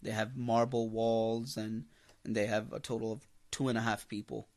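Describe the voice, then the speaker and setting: A man's voice: fairly low pitch, little pitch movement, moderate pace. A man teaching a religious class as an imam, lecturing with emphasis, repeating key phrases and putting rhetorical questions to his listeners. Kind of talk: impassioned religious lecture to a congregation.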